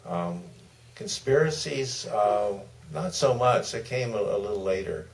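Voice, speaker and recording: An older man answering off the cuff as a film director on a panel, in a hesitant, meandering way with pauses. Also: mid-range voice, lively intonation, creaky. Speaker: documentary director guest on a Q&A panel. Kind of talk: spontaneous panel discussion. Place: theater stage at a live Q&A. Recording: distant mic, faint room noise.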